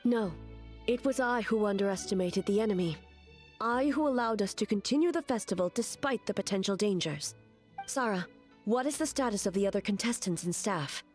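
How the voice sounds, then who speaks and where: A woman playing a stern, calm ruler deity in a video game, speaking formally and with authority. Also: medium pitch, fairly quick, faint music behind, natural voice. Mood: grave, resolute. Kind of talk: scripted video game voice acting.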